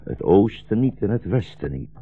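An actor playing a weary, bitter old blind rabbi fleeing war, solemn and brooding, in a 1960s Dutch radio drama. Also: male voice, fairly low pitch, sing-song, normal speed, slightly rough, exaggerated voice. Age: older. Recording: dull, muffled 1960s radio recording.

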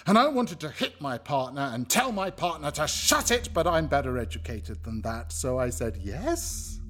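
An actor playing an unsettled storyteller, a man confiding a disturbing memory in an expressive radio drama monologue. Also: medium pitch, normal speed, soft background music. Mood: tense, recounting. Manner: expressive, mimics the people quoted.

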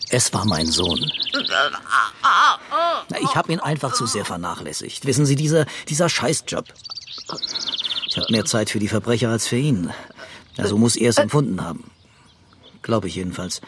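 Man acting apologetic inspector amid loud birdsong. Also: fairly low pitch, very noisy, lightly acted. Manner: hesitant, apologetic. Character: remorseful, awkward police inspector and single father. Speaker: actor in a radio crime drama. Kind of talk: German radio play acting. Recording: loud outdoor birdsong behind.

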